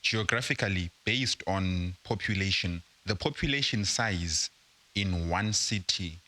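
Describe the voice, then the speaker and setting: Deep-voiced young man from Zimbabwe. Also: unhurried, pushed and bright. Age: young adult. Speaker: guest entrepreneur. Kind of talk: casual interview.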